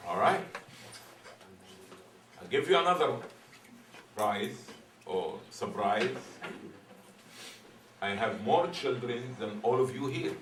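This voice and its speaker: A man's voice: medium pitch, animated, slow, long pauses, slight background noise. Man preaching in an echoey hall. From Egypt. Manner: playful, teasing, asks the audience riddles. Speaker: imam giving a talk. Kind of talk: formal sermon opening, then casual talk with the audience. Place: hall with a live audience. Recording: echoey room, voice from far off.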